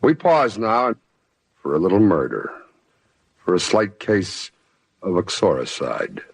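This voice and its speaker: A macabre old-time radio thriller host. His deep, gravel-husky voice moves slowly and deliberately, with droll menace and big dips in pitch. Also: no room sound, full character voice. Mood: droll, ominous. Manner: deadpan, deliberate, ominous. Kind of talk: 1950s radio scripted introduction. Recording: vintage 1950s radio broadcast.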